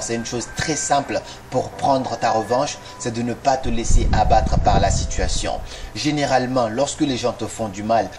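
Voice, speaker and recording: Earnest man giving a motivational talk. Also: medium pitch. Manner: direct, addresses listener as tu, rhetorical questions. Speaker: motivational video host. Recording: noisy recording with clicks.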